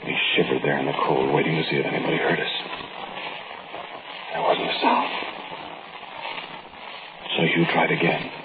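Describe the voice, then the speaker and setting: A man's voice: very deep voice, steady tone, moderate pace, pauses often. An actor playing a frightened, brooding man who tells his own story of a nighttime crime in terse, colloquial old radio drama narration. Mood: tense, brooding. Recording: noisy, tinny old radio broadcast recording.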